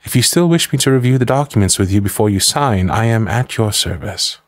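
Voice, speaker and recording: A low male voice, recorded close and dry, an actor as a noble lady's loyal manservant in a roleplay drama. He is formal and measured, deferential to his mistress, with a protective, drily disapproving edge. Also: expressive, no echo, close to an everyday voice. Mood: dry, attentive. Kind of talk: scripted ASMR roleplay acting.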